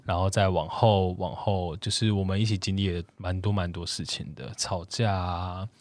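Lowish, dry-sounding man. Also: level tone, moderate pace, dry recording. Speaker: podcast co-host. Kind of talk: casual chat.

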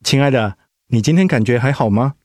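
A man's voice: medium pitch, lively intonation, a little fast, darkish tone, no echo, studio quality, lightly acted. A man playing a tender, coaxing young husband in a radio drama. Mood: loving. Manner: affectionate, coaxing. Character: tender, doting young husband fussing over his wife.

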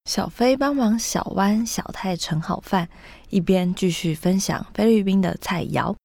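A woman's voice: medium pitch, measured pace, creaky, darkish tone, dry recording, studio quality. A woman narrating a scripted radio drama for children.

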